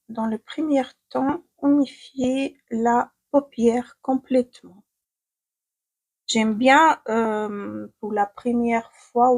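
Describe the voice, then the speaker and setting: A young woman with a Ukrainian accent talks her way through a makeup tutorial, often pausing with fillers and restarting words. Her voice sits fairly high and sounds slightly husky and breathy. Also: a little mellow. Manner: hesitant, many fillers and restarts while applying makeup. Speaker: beauty vlogger.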